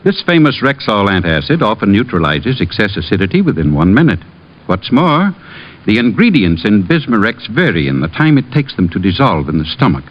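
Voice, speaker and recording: An actor playing a reassuring family druggist, delivering a polished, emphatic old-time radio advertisement. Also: male voice, on the low side, big pitch swings, fairly quick. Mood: reassuring, salesmanlike. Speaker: commercial announcer. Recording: dull vintage radio recording.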